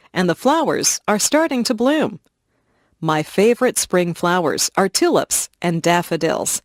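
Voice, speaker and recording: A woman slowly and clearly reading simple first-person stories for English learners. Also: fairly low pitch, dry recording, studio quality. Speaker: reader of English listening stories. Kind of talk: slow scripted reading for learners.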